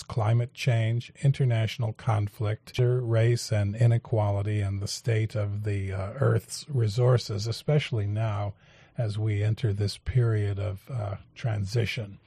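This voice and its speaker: Low mellow-voiced male talk host. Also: little pitch movement, creaky, husky, dry recording. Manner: measured, halting with many fillers. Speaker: radio talk show host. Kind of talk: public affairs interview.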